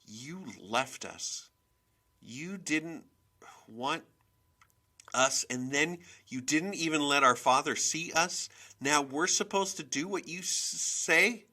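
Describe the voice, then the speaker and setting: A man voicing a timid young girl in a storybook, speaking in a shaky, halting, pleading way as she stands her ground. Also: a little high, lively intonation, sharp tone, voice pushed up, full character voice. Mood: hurt, trembling defiance.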